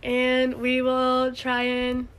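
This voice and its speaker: A woman's voice: high-pitched, monotone, extremely slow, a bit bright, clean tone. A young woman co-hosting a podcast in casual, unscripted chat, speaking informally with plenty of "like". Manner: informal, frequent "like" hedging. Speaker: podcast co-host.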